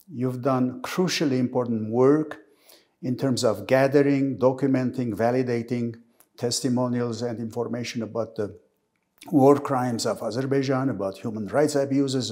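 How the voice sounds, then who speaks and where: A man in a mid-pitched voice leads an interview and sets up his questions with measured, formal phrasing. He pauses now and then as he introduces his guest. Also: a little mellow.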